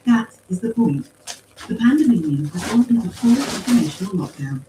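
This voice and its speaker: A woman voicing a calm, formal machine intelligence in an audiobook story, with precise, measured phrasing. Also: medium pitch, pitched above the performer's own voice, close to an everyday voice. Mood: coolly reasoning. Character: calm, coolly logical machine mind speaking through empty suits. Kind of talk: acted audiobook dialogue. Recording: roomy, distant pickup with faint noise.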